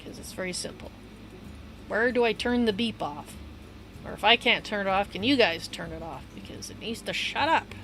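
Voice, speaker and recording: A woman livestreaming a game, chatting in a loose, rambling way with a hoarse voice and easy laughs. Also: mid-range voice, expressive, normal speed, fairly bright tone, slight background noise, rough recording. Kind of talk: casual rambling chat while gaming. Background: upbeat game music.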